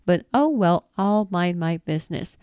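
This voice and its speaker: A woman's voice: fairly low pitch, animated, measured pace, smooth, no echo, dull audio. A woman hosting her own channel, reading viewer comments aloud and reacting with laughs, exclamations and mild swearing. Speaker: channel host answering viewer questions.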